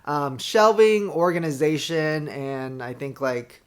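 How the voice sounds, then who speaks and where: A man hosting the show, giving a relaxed, chatty introduction that trails off at times. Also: on the high side, takes it slowly, a little hiss or hum. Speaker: host.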